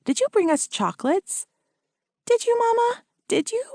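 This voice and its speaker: Woman voicing an eager little girl, high and pleading. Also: big pitch swings, moderate pace, warm tone, no echo, studio quality, heavily acted.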